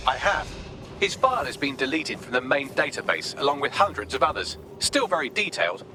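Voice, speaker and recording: An actor playing a witty, chatty AI assistant in a video game, full of dry sarcasm. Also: male voice, mid-range voice, very animated, a little fast, close to an everyday voice. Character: witty sardonic male AI assistant. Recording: loud music behind the voice. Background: game music.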